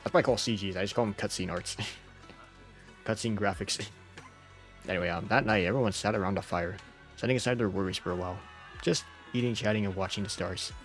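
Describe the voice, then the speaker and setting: A man's voice: fairly low pitch, fairly quick, fairly bright tone, soft background music. A man who is under the weather, streaming a game with informal, jokey commentary and reading out story text. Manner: informal, jokey, often chuckling. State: sick.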